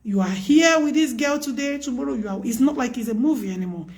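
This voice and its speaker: A woman speaks on a live stream in a high, loud voice. Her advice is earnest and emphatic, and she repeats herself to drive points home. Her tone is preachy and casual. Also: lively intonation, quick pace.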